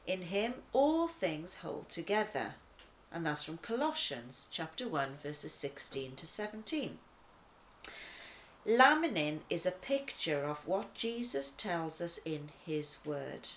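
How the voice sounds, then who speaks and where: A woman reading a children's devotional aloud in a deliberate, expressive manner. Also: lowish voice, a little hiss or hum. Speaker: children's church story reader.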